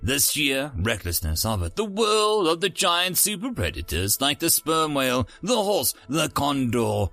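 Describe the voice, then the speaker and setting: Bright, theatrical male storyteller. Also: fairly high pitch, big pitch swings, a little slow, gravelly, few pauses, no echo, soft background music. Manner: theatrical, dramatic announcer delivery. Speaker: narrator and channel host. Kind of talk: scripted story narration with a showy intro.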